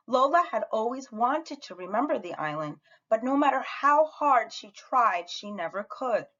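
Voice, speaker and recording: A woman reads a children's storybook aloud with a very lively, swooping delivery. She narrates like an animated schoolteacher, higher than average in pitch. The recording is slightly dull and lo-fi. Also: moderate pace. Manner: animated, acts out the dialogue. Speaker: teacher reading a picture book aloud.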